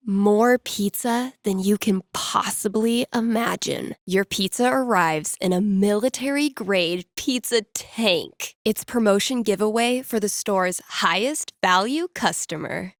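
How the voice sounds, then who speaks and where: A woman acts out a laid-back young female radio host from a video game, chatting with callers in an easy, improvised way. Her pitch sits in the middle for a woman and the sound is dry, with no room on it. She is playful and wry, slangy one moment and mock-dramatic the next. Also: a little slow, few pauses, dry recording, lightly acted. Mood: amused, playful. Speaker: call-in radio show host.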